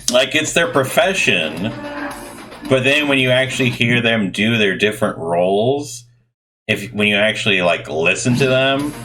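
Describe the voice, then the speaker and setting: Male gaming host, mid-pitched, rambling and opinionated, game music loud underneath. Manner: rambling, opinionated, self-correcting. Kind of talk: casual let's play commentary.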